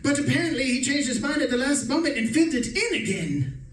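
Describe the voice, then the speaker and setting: An actor playing a genteel, smug, scheming married professor in a formal old-time radio suspense style. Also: male voice, very high voice, expressive, fast. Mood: uneasy, reading aloud. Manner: formal, chuckling, self-satisfied. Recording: roomy, distant stage recording with music.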